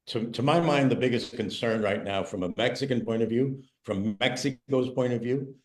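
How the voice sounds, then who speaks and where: A man on an online news panel, speaking in a measured, analytical way. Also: medium pitch. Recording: echoey room.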